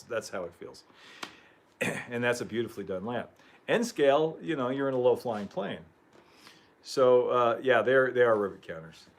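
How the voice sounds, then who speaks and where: Slightly hoarse man chatting on a livestream. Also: medium pitch. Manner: rambling, chuckling, frequent throat clearing. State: losing his voice. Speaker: livestream host. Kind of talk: casual livestream chat.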